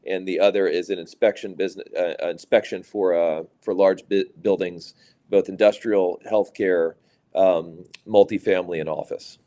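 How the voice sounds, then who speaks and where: A middle-aged man from Louisiana, a business executive answering interview questions in a measured way, with many fillers and restarts. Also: low-pitched, steady tone, warm tone. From Louisiana, USA. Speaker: private equity executive, guest.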